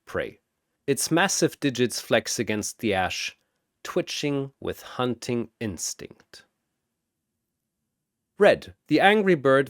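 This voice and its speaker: A man narrating a fantasy battle in a bombastic, theatrical style with drawn-out emphasis, like an arena announcer. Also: medium pitch, dry recording, studio quality.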